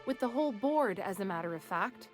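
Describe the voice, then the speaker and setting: An actress playing a composed female executive assistant who speaks in a dry, measured way. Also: medium pitch, expressive, faint music behind, natural voice.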